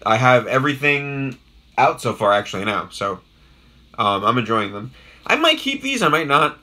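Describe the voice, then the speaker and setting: A young man talks loosely on a live stream, his voice raspy and hoarse from a worn-out throat. His tone is bright and forward, and he wanders between thoughts with lots of filler and restarts. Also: mid-range voice, very animated, normal speed. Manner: rambling, with many ums and restarts, greeting viewers in chat. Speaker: YouTube host doing a live unboxing. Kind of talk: casual live-stream chat.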